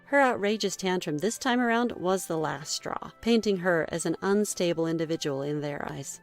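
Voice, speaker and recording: A woman reading a scripted first-person story in a measured read-aloud manner. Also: fairly low pitch, faint music behind. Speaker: story narrator.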